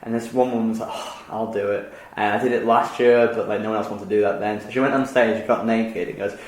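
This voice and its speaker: A man's voice: on the low side, fairly even intonation, quick pace, a little mellow. A man hosting a podcast in casual chat, rambling with many fillers and restarts and laughing easily. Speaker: podcast host. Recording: echoey room.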